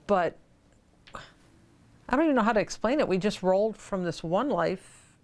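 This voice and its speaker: A woman's voice: medium pitch, animated, normal speed. A woman talking unscripted in a news interview, as a local interviewee. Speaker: family member interviewed.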